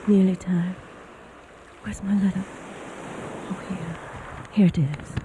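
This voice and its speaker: An actress playing an eerie, haunted figure in a radio drama, muttering to herself. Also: female voice, fairly low pitch, fairly even intonation, slow pace, background noise. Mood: haunted, urgent.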